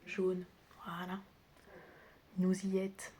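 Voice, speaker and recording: A woman's voice: on the low side, monotone, slow. Whispering woman, teasing ASMR, noisy background. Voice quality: breathy whisper mixed with soft speech. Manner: teasing, chatty, familiar, addressing the listener directly. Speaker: ASMR creator role-playing as a doctor and best friend. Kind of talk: whispered ASMR roleplay, close personal attention. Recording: clear background noise with clicking sounds.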